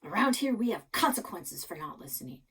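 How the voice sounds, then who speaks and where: A woman's voice: mid-range voice, big pitch swings, fairly quick, a bit bright, slightly rough, heavily acted. A woman voicing a stern old grandfather scolding his grandchildren in a storybook. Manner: scolding, commanding.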